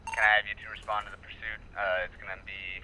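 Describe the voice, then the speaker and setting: A man's voice: fairly low pitch, level tone, quick pace, bright, forceful tone. A man playing police roleplay, talking in radio codes over a noisy voice-chat channel. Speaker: roleplay player acting as police radio operator.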